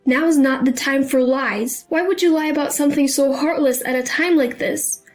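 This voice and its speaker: A woman's voice: high voice, normal speed, faint music behind, voice pushed up, natural voice. A woman narrator voicing an agitated, accusing young daughter-in-law in an urgent, curt way. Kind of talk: dramatised story dialogue.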